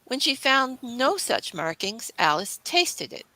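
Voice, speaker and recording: Female storybook narrator, scripted read: bright tone, dry recording. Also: on the high side, very animated, measured pace, no room sound, rough recording.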